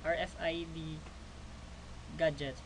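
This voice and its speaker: Man in his twenties from the Philippines, student presenter, flat stiff delivery, noisy recording. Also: fairly high pitch, takes it slowly. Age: 20s. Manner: reads stiffly, repeating phrases. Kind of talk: class project presentation. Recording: noticeable background noise.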